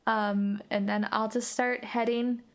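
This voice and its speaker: A woman's voice: on the high side, level tone, slow pace. A woman improvising casual first-person narration as a player in a tabletop roleplay game.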